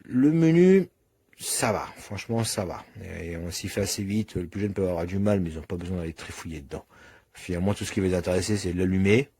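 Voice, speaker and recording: Low-voiced man with a slightly husky tone. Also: lively intonation, a little fast, dark tone. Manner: colloquial, thinking aloud as he demonstrates. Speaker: product reviewer. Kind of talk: casual unscripted product review.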